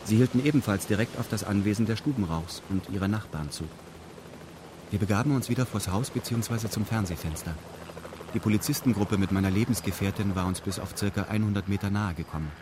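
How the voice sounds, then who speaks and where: A man's voice: fairly low pitch, brisk, noisy background. A man narrating a radio drama in a formal, matter-of-fact, detached way. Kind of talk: scripted radio drama narration in first person.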